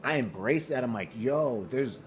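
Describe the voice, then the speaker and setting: A man with a low voice speaks in a casual interview over clearly audible room noise. He is earnest and slangy, circling back on phrases to drive a point home. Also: moderate pace. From Boston and Nigeria. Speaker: fashion brand founder, interview guest.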